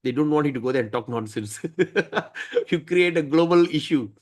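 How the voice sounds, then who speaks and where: A man's voice: fairly high pitch, lively intonation, normal speed. A man teaching a scripture study class, emphatic and often asking his listeners "right?".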